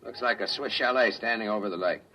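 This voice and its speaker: An actor playing a careful, deferential space scout reporting to a superior in 1950s radio drama style. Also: male voice, lowish voice, fast, bright, forceful tone. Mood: reporting, tentative. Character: dutiful male space scout, careful, deferential junior officer. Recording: muffled, noisy vintage 1950s radio recording.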